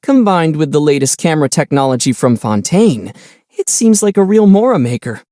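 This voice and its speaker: A man voicing a calm young adventurer in a video game, easygoing and measured, with a gently wry, teasing manner. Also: a little high, no echo, studio quality. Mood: casual. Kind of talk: video game character dialogue.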